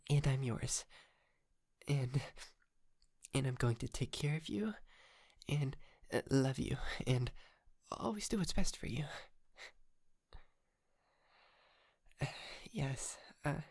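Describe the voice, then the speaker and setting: Casting: male actor as polite, obsessive yandere lover for ASMR roleplay; unhurried, creaky delivery, nervous giggly stammer. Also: a little high, lively intonation, no room sound, clean studio sound, exaggerated voice. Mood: tender, devoted. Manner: stammering, giggly, hesitant, softly doting. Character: shy, lovestruck, polite yandere man, nervously obsessive kidnapper. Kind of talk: intimate ASMR roleplay acting.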